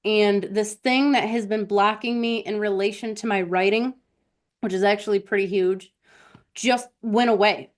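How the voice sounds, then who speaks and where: A woman's voice: mid-range voice, steady tone, moderate pace. A woman hosting a live stream, telling personal stories in a casual, conversational way and talking directly to her listeners.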